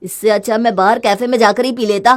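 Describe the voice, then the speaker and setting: A woman's voice: a little high, steady tone, quick pace, close to an everyday voice. A woman voicing an exasperated husband in a family story, with a sarcastic, reproachful manner. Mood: annoyed, scolding. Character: exasperated, scolding husband, critical of wasteful spending.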